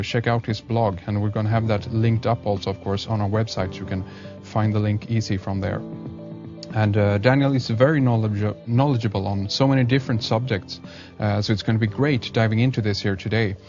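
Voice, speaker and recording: A man with a fairly low voice hosts a radio show, with music clearly playing behind him. He talks slowly and hesitantly, filling pauses with uhs as he introduces his guest. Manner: slow, hesitant, many uhs. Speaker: radio host.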